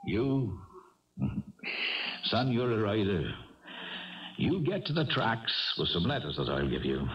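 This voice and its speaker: An actor playing a proud, broke Irish racehorse trainer, kindly and stubborn, with a folksy lilt, in a radio drama. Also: male voice, lowish voice, sing-song, takes it slowly, slight background noise. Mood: wry, resigned. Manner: folksy lilting turns of phrase. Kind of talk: 1970s radio drama acting. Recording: dull, distant 1970s radio recording.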